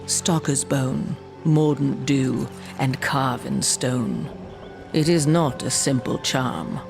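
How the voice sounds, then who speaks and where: Creaky, sorrowful old shaman. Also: rumbling low, lively intonation, music in the background, exaggerated voice. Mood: measured. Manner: solemn, mystical, measured. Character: sorrowful old shaman of a dying giant race. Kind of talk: dark fantasy game acting.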